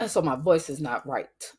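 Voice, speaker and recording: A middle-aged woman with a voice gone raspy from a cold, hosting a faith-based podcast in an earnest, emphatic way and talking straight to her listeners. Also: low-pitched, big pitch swings, slow pace. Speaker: podcast host.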